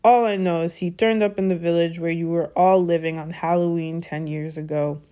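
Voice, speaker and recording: A woman voicing a gruff but kindly giant man in a storybook, blunt and uneasy, with folksy clipped words. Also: fairly low pitch, fairly even intonation, normal speed, mellow, lightly acted. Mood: grave, uneasy. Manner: folksy, dropped-consonant dialect speech. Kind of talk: storybook character voice.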